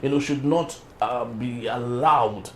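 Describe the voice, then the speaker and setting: A man's voice: mid-range voice, slow, a bit bright. Nigerian male TV host, noisy backdrop. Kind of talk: broadcast talk show hosting.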